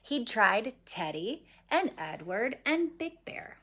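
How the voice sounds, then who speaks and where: A woman reading a children's picture book aloud expressively, with dramatic pauses. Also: fairly high pitch, big pitch swings, a bit bright, a little hiss or hum. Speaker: story reader.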